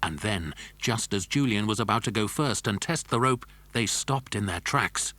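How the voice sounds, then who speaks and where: A man's voice: fairly low pitch, lively intonation, normal speed, bright, forceful tone, dry recording. A man narrating a scripted children's adventure story. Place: dry studio.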